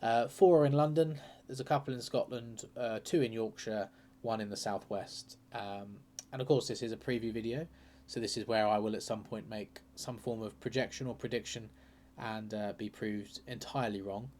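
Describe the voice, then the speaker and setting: A man hosting a political channel, talking casually and hesitantly through by-election numbers, his voice a bit croaky from a cold. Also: fairly low pitch, fairly even intonation, normal speed, a little mellow. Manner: chatty, hesitant, lots of ums and uhs. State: croaky with a sore throat and cold. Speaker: political commentary channel host.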